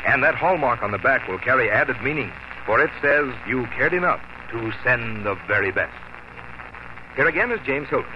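A man's voice: medium pitch, lively intonation, moderate pace, noisy background. A man announcing on 1950s radio, reading scripted advertising and credits with polished emphasis. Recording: muffled 1950 radio broadcast with music.

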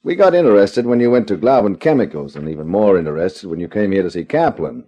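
Menacing nightclub racketeer, 1950s radio drama: dark, husky, drily sardonic. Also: male voice, fairly low pitch, lively intonation, fast talker. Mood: sardonic, gloating. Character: smooth-talking, menacing nightclub gangster boss.

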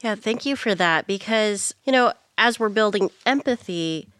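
A woman interviewer with a smooth, resonant voice asks careful, layered questions and builds on her guest's answers. Her voice sounds dry and close to the mic, with no room echo. She keeps a steady, measured manner. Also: mid-range voice.

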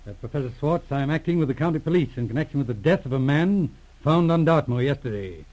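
An actor playing a businesslike police inspector in a 1940s radio drama, courteous, calm and matter-of-fact. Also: male voice, a little high, fast talker, lightly acted, rough recording. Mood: formal, probing. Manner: matter-of-fact, clipped questioning.

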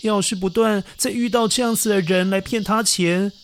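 A man narrating a children's story in a gentle, coaxing manner, speaking to young listeners. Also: high voice, fairly even intonation, moderate pace, a little hiss or hum. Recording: narration over backing music. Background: music.

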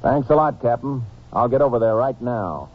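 An actor playing a steady, shrewd Texas Ranger in a 1950s radio drama, speaking and narrating in a terse, matter-of-fact way. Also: male voice, mid-range voice, moderate pace, very noisy, close to an everyday voice. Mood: businesslike. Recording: muffled old-time radio recording with music.

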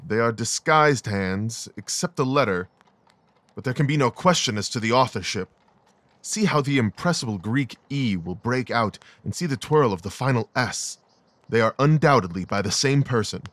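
A man playing a brilliant, coolly rational gentleman detective in an audio drama, speaking in a precise, clipped, analytical way. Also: medium pitch, animated, normal speed, no echo, close to an everyday voice. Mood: brisk, analytical.

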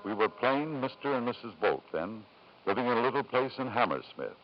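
An actor playing a self-assured, ambitious English businessman and doting father in a 1950s radio drama, measured and persuasive. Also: medium pitch, big pitch swings. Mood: grave, reflective. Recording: dull, noisy 1950s radio recording.

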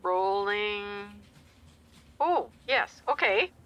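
An earnest older woman, an anxious mother on the phone, in a naturalistic audio drama. Her voice is bright and lively in pitch, and she comes across as slightly flustered. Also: very slow, highs cut off.

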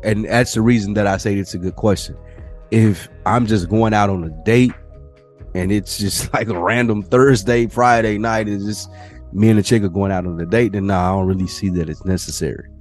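A man's voice: normal speed, soft background music. Laid-back man telling a story. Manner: animated storyteller, mimics people in higher voices. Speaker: podcast co-host. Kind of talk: casual chat.

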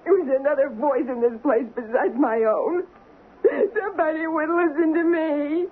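A high, plaintive young woman, played by an actress in an old radio drama, lonely and fed up with the crook she lives with. Her voice pleads more than it argues, and it comes through thin and tinny, like a vintage broadcast. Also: steady tone, moderate pace, natural voice. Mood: defiant.

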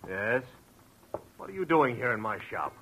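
An actor playing an uneasy shop owner and husband in a 1940s radio drama. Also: male voice, medium pitch, big pitch swings, moderate pace, fairly bright tone. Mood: wary, indignant. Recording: noisy vintage radio recording.

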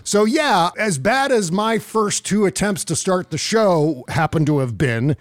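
A man's voice: high voice, animated, measured pace, no echo, studio quality. A man hosting a podcast, chatty and jokey, delivering upbeat casual banter with announcer-like flourishes. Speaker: podcast host.

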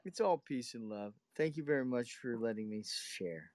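A man's voice: medium pitch, expressive, moderate pace, a little mellow. A man chatting casually as a participant in a live audio room. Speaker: audience participant. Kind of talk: casual chat.

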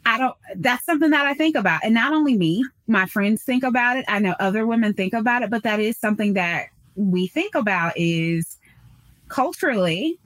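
Woman in her 30s, higher voice, chatty and animated, dry studio sound. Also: fairly quick, dry recording. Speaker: podcast co-host. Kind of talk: podcast conversation.

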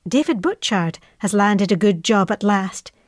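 A woman's voice: mid-range voice, expressive, a little slow, dry recording, studio quality. An actress playing an affectionate middle-aged mother in wartime, reading out her chatty, matter-of-fact letter to her airman son. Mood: chatty, fond.

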